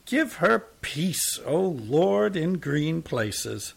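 A man voicing a pious, self-pitying male tramp with a soft, drawling, sickly sweet voice. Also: a little high, sing-song, extremely slow, vocal fry, husky, pitched above the performer's own voice, exaggerated voice.

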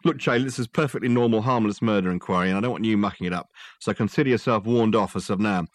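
A man voicing a weary, gruff male police sergeant who talks loudly and sarcastically. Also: mid-range voice, animated, fast, no echo, close to an everyday voice. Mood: menacing. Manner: loud, sarcastic, threatening.

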